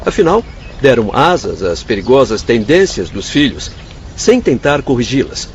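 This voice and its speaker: A man's voice: mid-range voice, big pitch swings, normal speed, natural voice. A man acting an earnest spirit doctor in a radio drama, compassionate but stern, formal and moralizing. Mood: grave, moralizing. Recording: dull lo-fi audio with faint ambient noise.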